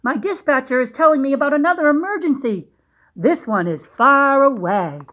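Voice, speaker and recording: A woman reading a storybook aloud to young children, pausing in a teacherly way to ask questions and explain things simply. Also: sits high, expressive, fairly bright tone.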